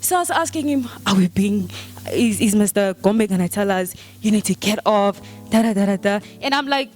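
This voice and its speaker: A woman's voice: medium pitch, animated, moderate pace, a little mellow, soft background music. A young woman of eighteen, a school deputy head girl, giving an earnest, storytelling speech at a book launch.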